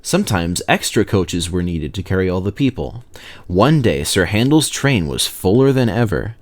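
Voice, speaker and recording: Animated, low-pitched male storybook narrator. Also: normal speed. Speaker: narrator, reader of children's stories. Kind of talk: expressive scripted storybook narration.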